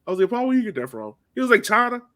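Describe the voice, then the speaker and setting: A man's voice: extremely high pitch, big pitch swings, racing, fairly bright tone. A man hosting a sports podcast, chatting informally and slangily as a fan. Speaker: podcast host. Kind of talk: casual sports chat.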